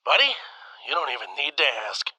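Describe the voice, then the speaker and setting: A man's voice: a little high, huge pitch swings, fairly quick, sharp tone, full character voice, poor audio. An actor playing a loyal, easygoing starship crewman in an audio drama.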